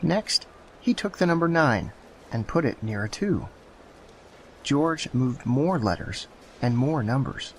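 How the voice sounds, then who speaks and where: A man reading children's bedtime stories aloud in a slow, gentle storybook manner. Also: medium pitch, expressive, slight background noise, rough recording.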